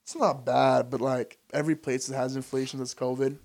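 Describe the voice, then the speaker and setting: A young man from Albania chats loosely as a podcast guest. His talk is relaxed and full of "like" and "bro". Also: mid-range voice, a little fast. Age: young adult. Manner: loose and chatty, says "bro" and "like" a lot. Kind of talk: casual chat.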